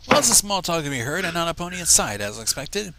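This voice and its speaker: A man's voice: on the high side, sing-song, quick pace, noisy background. A man reading a fan fiction story aloud on a livestream and breaking off for mocking asides.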